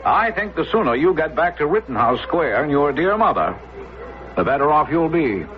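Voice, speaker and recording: An actor playing a stuffy, proper young upper-class friend, prim and disapproving, in a period radio drama. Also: male voice, on the high side, sing-song, moderate pace. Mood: scandalized, disapproving. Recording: muffled old radio recording with music.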